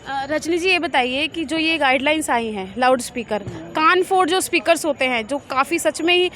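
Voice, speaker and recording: A woman's voice: high-pitched, moderate pace, a bit bright. A woman reporter speaks. She sounds conversational as she puts questions to members of the public, out on a city street. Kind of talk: vox pop interviewing. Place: outdoors on an urban street.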